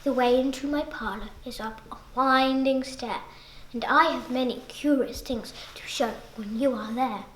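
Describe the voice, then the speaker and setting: Sly spider for a storybook poem, played by a young girl: hushed, coaxing, flattering, in a roomy echo. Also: high-pitched, normal speed, a little hiss or hum, lightly acted. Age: child. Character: sly, cunning, flattering male spider luring prey.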